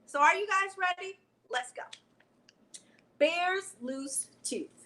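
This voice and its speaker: A middle-aged woman hosting a library story time, talking directly to children and reading aloud in an expressive way. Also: very high voice, slow pace. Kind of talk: children's read-aloud and chatty announcements to young viewers.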